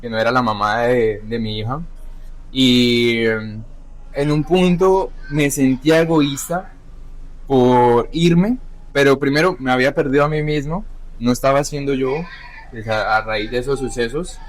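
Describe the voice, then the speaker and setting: Young guy chatting about his life, keeps restarting and fixing his words. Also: mid-range voice. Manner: halting, self-correcting, reflective. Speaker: chef, podcast guest. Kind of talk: candid personal conversation.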